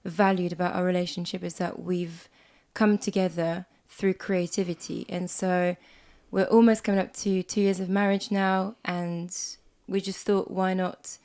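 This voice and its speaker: Swiss woman, a bit croaky. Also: fairly low pitch, little pitch movement, unhurried. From Switzerland. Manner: chatty, warm, laughs often. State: hoarse from a cold. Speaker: podcast co-host. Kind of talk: casual chat. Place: small music room at home.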